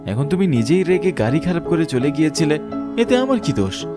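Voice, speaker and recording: An actor playing an expressive young man in an animated horror story, speaking dramatically. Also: on the high side, a little fast, over music. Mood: reassuring. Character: young man, animated romantic partner or helpful rescuer.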